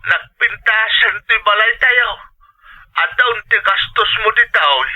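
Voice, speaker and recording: A tender old Ilocano father on a thin telephone-style line in a radio drama. His voice is very sharp and high, lively in pitch and full of gratitude. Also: a little slow, muffled recording, full character voice. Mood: tender, grateful. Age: older. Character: elderly father, tender and grateful, on a phone call. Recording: thin, band-limited telephone-style sound.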